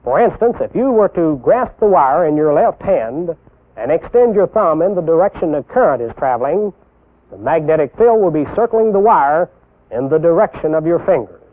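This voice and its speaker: A young American man giving scripted instructional narration as a military instructor, deliberate and didactic, posing rhetorical questions. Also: fairly high pitch, expressive. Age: young adult. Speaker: military instructor presenting a training film. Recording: tinny band-limited 1960s film soundtrack.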